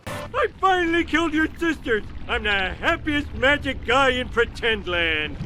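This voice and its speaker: A man's voice: very high voice, very animated, a little slow, bright, forceful tone, a little hiss or hum, exaggerated voice, low-quality recording. A man voicing an oafish, hot-tempered middle-aged cartoon dad in a comedy game, whiny and blustering. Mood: gleeful. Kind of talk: animated comedy game cutscene acting. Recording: game music behind the voice.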